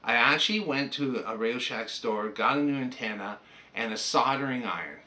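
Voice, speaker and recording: Bright-voiced older Canadian man reminiscing. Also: mid-range voice, moderate pace. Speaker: hobbyist presenter. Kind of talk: casual reminiscing vlog.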